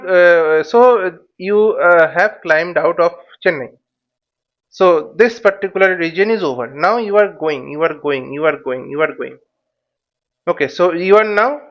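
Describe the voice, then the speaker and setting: A man's voice: high-pitched, highs cut off. A man giving an unscripted step-by-step tutorial, speaking hesitantly, repeating himself and checking that the listener follows. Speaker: flight simulator tutorial instructor.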